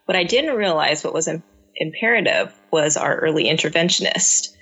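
A woman with Cantonese as her first language talks through her experiences as a parent in an earnest, reflective way, often restarting her sentences. Her voice sits low and a little husky. The audio is dull and lo-fi. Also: lively intonation, moderate pace, bright, forceful tone. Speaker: parent guest. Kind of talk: conversational interview answers.

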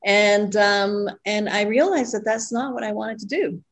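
A middle-aged woman guest, born in Costa Rica, telling her story in an interview with many pauses and fillers. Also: mid-range voice, fairly even intonation. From Costa Rica, raised abroad. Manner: reflective, pausing with fillers. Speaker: guest, retreat owner.

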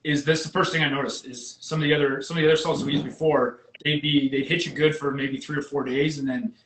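A man hosts a casual podcast chat in a fairly high voice, upbeat and quick with his questions. His voice carries a roomy echo. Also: level tone, a bit bright, slight background noise. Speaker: podcast host.

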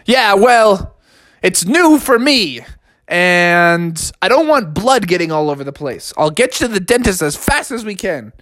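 A man's voice: sits high, sing-song, unhurried, fairly bright tone, no echo, studio quality, pitched above the performer's own voice, exaggerated voice. A man voicing a fussy father who scolds in a folksy drawl. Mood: scolding. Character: fussy dad with a beat-up bus.

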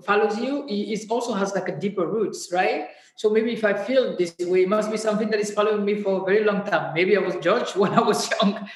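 An interview guest speaking candidly and reflectively, often pausing to search for words. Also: medium pitch, echoey room, rough recording.

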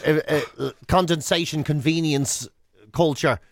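Irish guy on radio, high voice, dry studio sound, teasing banter and tall tales. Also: fairly even intonation, moderate pace, no room sound. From Ireland. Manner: exaggerated comic storytelling, teasing. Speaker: radio show co-host.

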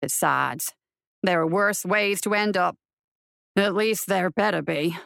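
A woman performs a male frontier marshal from a weird-western storybook, pitched down low. He is wry and rueful, talking in a folksy, bored way like someone serving out a long penance. The sound is dry and close. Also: slow pace, gravelly, a bit bright, no room sound, heavily acted.

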